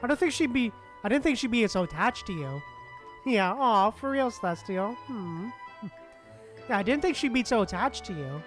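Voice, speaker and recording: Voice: mid-range voice, animated, moderate pace, a bit bright, faint music behind. A streamer giving casual playthrough commentary and reading game dialogue aloud.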